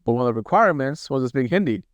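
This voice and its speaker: Clear-voiced American man, casual and slangy. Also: medium pitch, expressive, racing, dry recording, dull audio. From New Jersey, USA. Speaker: podcast host.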